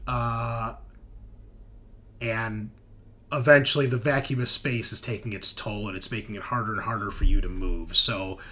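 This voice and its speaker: A man's voice: lowish voice, steady tone, moderate pace, pushed and bright. A man acting as game master, narrating a tabletop roleplaying game and explaining rulings to players in a conversational way. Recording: music playing behind the voice.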